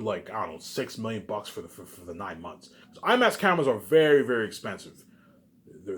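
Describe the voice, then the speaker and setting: A man talks very quickly in a casual, rambling monologue at an average pitch. He repeats himself for emphasis and keeps punctuating his points with rhetorical check-in questions. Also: bright, forceful tone. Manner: repetitive, emphatic, with frequent rhetorical tag questions. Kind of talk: rambling casual monologue about personal plans.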